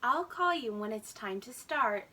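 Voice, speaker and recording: A patient mother alligator from a children's storybook, acted by a woman reader. She gives gentle reminders in a high, very lively voice, a little away from the mic. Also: measured pace, far from the mic, exaggerated voice. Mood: helpful.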